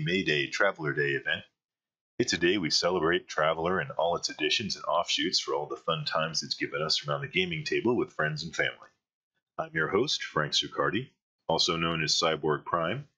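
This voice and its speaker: A man's voice: extremely low pitch, bright, forceful tone, lo-fi sound. A man hosting an interview, friendly and chuckling, with many fillers. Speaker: podcast host. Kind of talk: casual interview chat.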